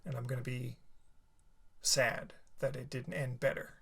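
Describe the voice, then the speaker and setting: Man, medium pitch, tired, slightly sore throat, halting rambling commentary, lots of restarts. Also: level tone, creaky, fairly bright tone, slightly rough. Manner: halting, many restarts and fillers. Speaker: reaction video host.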